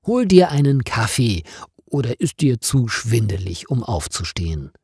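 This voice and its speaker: A man's voice: mid-range voice, sing-song, measured pace, mellow, breathy edge, no room sound, clean studio sound, exaggerated voice. A man voicing a concerned, helpful female office colleague in caring, questioning storybook dialogue.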